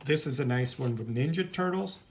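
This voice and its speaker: A man's voice: mid-range voice, lively intonation, fairly quick. Rambling male art teacher, noisy home recording. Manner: chatty, rambling, easily sidetracked. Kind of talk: informal hands-on demonstration. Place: at home, indoors.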